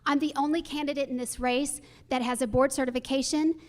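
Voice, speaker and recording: High-voiced woman giving campaign speech. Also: fairly even intonation, a little fast. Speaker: judicial candidate, associate judge. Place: live candidate forum.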